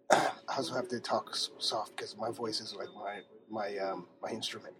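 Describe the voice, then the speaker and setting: A man voicing a soft-spoken male protester with a hushed, deadpan delivery, recorded in a restaurant. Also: normal speed, very noisy, close to an everyday voice. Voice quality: hushed, low and quiet. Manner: deadpan and understated.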